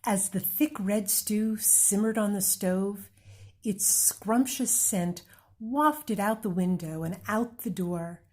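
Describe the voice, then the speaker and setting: A woman reading a children's picture book aloud, slow and gentle, pausing to point out the pictures. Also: mid-range voice. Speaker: read-aloud reader.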